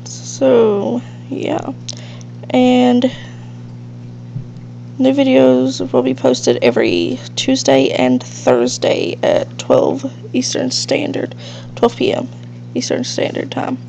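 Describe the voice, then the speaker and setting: A woman's voice: fairly high pitch, very animated, slow, gravelly, mellow. A woman with a nasal, stuffed-up allergy voice giving casual, rambling gameplay commentary as the host of a let's play. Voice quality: nasal, congested, sniffly. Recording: dull lo-fi mic with faint hiss and hum.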